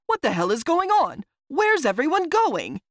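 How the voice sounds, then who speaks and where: A woman plays a rough-edged, treacherous general from a fantasy story. He speaks in threatening, demanding bursts with gravel in the voice, recorded very dry. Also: medium pitch, sing-song, takes it slowly, no echo, pitched above the performer's own voice. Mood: alarmed, demanding. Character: menacing male general, secretly a sly traitor.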